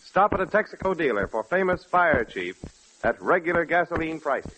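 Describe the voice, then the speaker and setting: A man's voice: on the high side, sing-song, moderate pace, heavy background noise. A man reading formal, polished scripted announcements on a 1940s radio broadcast.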